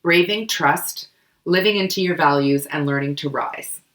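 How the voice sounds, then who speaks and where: A woman co-hosting a book club podcast, hoarse and coughing from a cold, chatting casually with many fillers. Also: sits low, moderate pace, fairly bright tone, reverberant. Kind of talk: casual explanatory chat.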